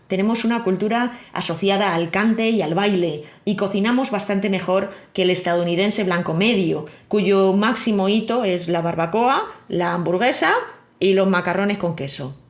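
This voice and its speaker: A woman with an accent from Spain, hosting a podcast and reading a scripted monologue with wry, personal storytelling. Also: medium pitch. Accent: Spain Spanish. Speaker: podcast host. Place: living in Miami.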